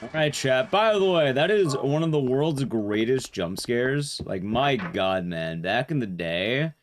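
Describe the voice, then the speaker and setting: A man's voice: medium pitch, animated, a little slow. A man giving casual, rambling live commentary on a game to his stream chat, informal and a bit sweary. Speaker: speedrun streamer.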